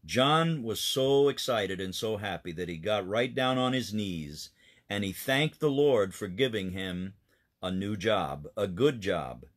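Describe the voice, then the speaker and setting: A man's voice: fairly low pitch, animated, measured pace. A man, a pastor, telling a children's missionary story in an earnest, explanatory way.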